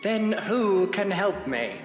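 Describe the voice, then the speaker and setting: An actor playing an earnest, restrained, determined gentleman in a Victorian audio drama. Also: high voice, very animated, takes it slowly, exaggerated voice. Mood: earnest, frustrated. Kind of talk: audio drama acting of Victorian period fiction. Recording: echoey hall with music behind.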